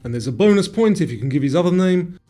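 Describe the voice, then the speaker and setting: Man, quiz narrator, dark mellow tone, slightly raised pitch, scripted questions. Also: lively intonation, fast talker, faint music behind.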